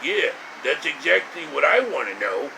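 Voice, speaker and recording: A man's voice: on the high side, sing-song, moderate pace. A man voicing a servile animal henchman in a homemade storybook tale. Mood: obedient. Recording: clear room hum and hiss.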